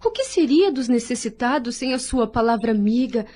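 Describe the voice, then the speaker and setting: A woman's voice: fairly high pitch, lively intonation, normal speed, dark tone. An actress playing a devoted, emotional wife and mother in an audio drama, with a Brazilian accent and an earnest, pleading manner. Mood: tender concern. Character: devoted wife, then grieving, despairing widowed mother. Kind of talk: melodramatic audio drama acting.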